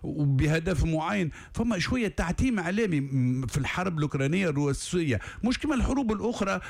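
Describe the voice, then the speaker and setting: Man, Tunisian Arabic accent, talk show host, quick interjections, gravelly, lively pitch.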